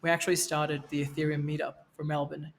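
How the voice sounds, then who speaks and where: Gravelly-voiced man from Venezuela. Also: fairly high pitch, fast, a little hiss or hum. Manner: relaxed, with fillers and self-corrections. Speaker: guest, NFT project co-creator. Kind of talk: casual podcast interview. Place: Mexico, where he is now.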